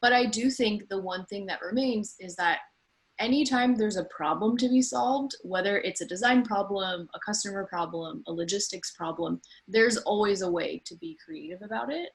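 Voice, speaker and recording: A woman's voice: mid-range voice, normal speed. A woman in her thirties talks informally about her own career as a panel guest, in an earnest, conversational way. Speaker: designer and small business owner, panel guest.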